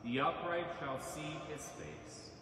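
A middle-aged man, a priest, reciting liturgical prayers and reading scripture in a measured, solemn way. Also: fairly high pitch, a crowd in the background. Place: large church sanctuary. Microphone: stand mic at lectern. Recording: echoey church from far off.

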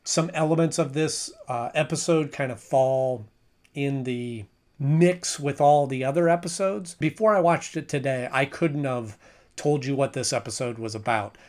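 A man's voice: medium pitch, moderate pace, a little mellow. Casual man reviewing to camera. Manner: conversational, with frequent restarts and hedging. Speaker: show reviewer. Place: small room at home with shelves of collectibles.

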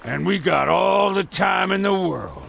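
An actor playing a menacing company henchman with a gravelly voice in a Western video game. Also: high voice, animated, measured pace, a bit bright, slight background noise, heavily acted. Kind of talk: video game cutscene acting.

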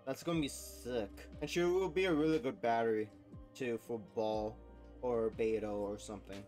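Young male gaming host, mid-pitched voice, slangy and enthusiastic reaction commentary, light music behind. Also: moderate pace, a little mellow.

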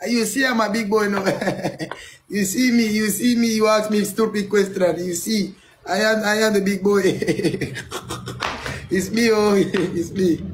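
A very high, squealing applicant, put on by a man, full of boasts in broken English. He wails and protests when pressed, his voice cracking upward. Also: slow pace, a little hiss or hum, poor audio. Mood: boastful. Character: excitable male visa applicant, boastful.

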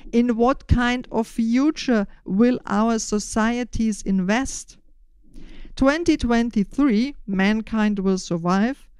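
An older woman explaining a podcast in measured, deliberate, prepared phrases. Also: a little high, darkish tone, smooth, no echo. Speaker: podcast host.

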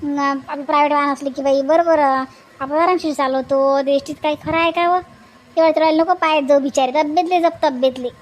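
A woman voicing a brisk, caring village woman in a cartoon, chatty and repetitive. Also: high-pitched, fairly even intonation, natural voice.

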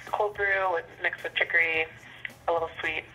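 A woman's voice: fairly low pitch, steady tone, normal speed, pushed and bright, a little hiss or hum. A young woman working at a coffee shop, chatting politely and a little hesitantly with a customer over the telephone. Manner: polite, good-humoured, hesitant. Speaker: coffee shop employee answering the phone. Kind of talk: casual customer service phone chat. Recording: phone call played over speakerphone.

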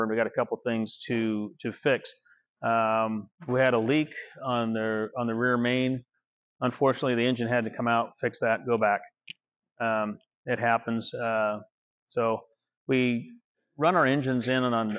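A man in his fifties giving a casual walking tour of his car restoration workshop, relaxed and rambling with many fillers, recorded on a small clip-on mic. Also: medium pitch, lo-fi sound.

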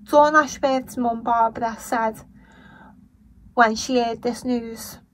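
A woman with a Liverpool accent and a croaky voice, giving blunt, indignant commentary on a news story as a vlogger. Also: a little high, fairly even intonation, slow, a little hiss or hum, low-quality recording. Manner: blunt and indignant, swears when angry. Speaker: true-crime commentary vlogger. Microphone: voice-over over still images.

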